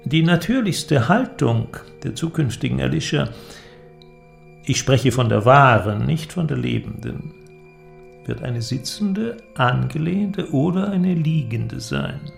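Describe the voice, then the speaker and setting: An actor playing an eloquent, persuasive inventor in a radio drama, with a declamatory, deliberate delivery and ornate phrasing. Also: male voice, mid-range voice, expressive, gravelly, faint music behind, exaggerated voice. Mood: lecturing, enthusiastic. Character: eloquent visionary male inventor, grandiose and persuasive. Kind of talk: German literary radio drama acting.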